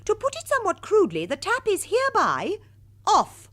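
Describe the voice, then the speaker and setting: An actress playing a testy, respectable British wife in a radio comedy drama, speaking in an arch, precise, wry manner. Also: female voice, extremely high pitch, wildly swooping pitch, normal speed, a bit bright, exaggerated voice. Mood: outraged, haughty. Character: testy, respectable, long-married middle-class wife.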